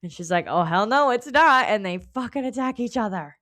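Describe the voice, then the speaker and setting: A woman's voice: on the high side, expressive, fairly quick, fairly bright tone. A woman co-hosting a book club podcast in casual chat, slangy and sweary, prone to giggling. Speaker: podcast co-host.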